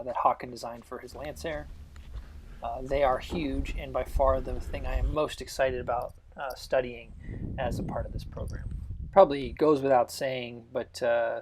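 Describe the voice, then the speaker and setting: A man with a noticeable vocal fry narrates in an unscripted, explanatory way. His pitch sits in the middle range, and he speaks like a test pilot working through technical details, pausing often as he goes. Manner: technical, halting explanations with pauses.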